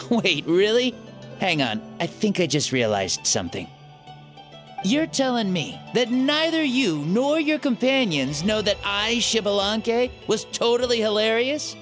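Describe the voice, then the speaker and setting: Game role: playful old spirit in a youngster, swaggering, very lively intonation, faint score underneath. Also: medium pitch, unhurried, soft background music. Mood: teasing. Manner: cocky, joking, theatrical. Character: playful ancient hero spirit possessing a young boy's body. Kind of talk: video game voice acting.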